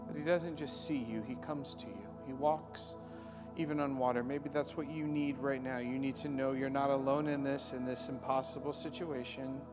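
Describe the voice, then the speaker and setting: Gentle man leading prayer over music. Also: mid-range voice, fairly even intonation, normal speed. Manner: gentle, quiet pastoral address. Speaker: pastor. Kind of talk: closing prayer. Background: soft ambient worship music.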